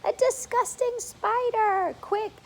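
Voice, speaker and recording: Horrid old aunt, shrill and very high, slow, stretched shrieks. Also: female voice, steady tone, heavily acted. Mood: shrieking. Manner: shrieking, drawn-out.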